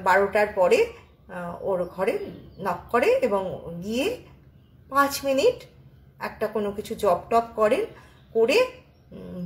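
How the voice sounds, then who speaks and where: Woman narrating a ghost story, unscripted, lots of ums, fairly high voice, echoey room. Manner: unscripted, many filler sounds and restarts. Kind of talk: casual spoken ghost story telling.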